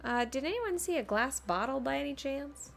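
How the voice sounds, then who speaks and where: A woman's voice: sits high, normal speed, vocal fry. A woman giving casual, playful live commentary as she streams a game. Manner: playful, animated. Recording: faint game music behind.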